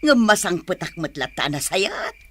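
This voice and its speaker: A woman's voice: on the low side, big pitch swings, moderate pace, a little hiss or hum. A woman playing a brusque, chatty, money-minded grandmother in a village comedy radio drama, exclaiming and scolding.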